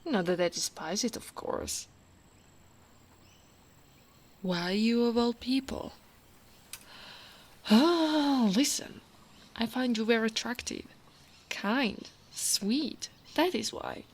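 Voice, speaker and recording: An Italian woman playing a tall, devoted female orc royal guard in an ASMR roleplay, speaking softly and intimately as if to the one listener she loves. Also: on the high side. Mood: affectionate, reassuring. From Italy. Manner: slow, intimate, often dropping to a whisper.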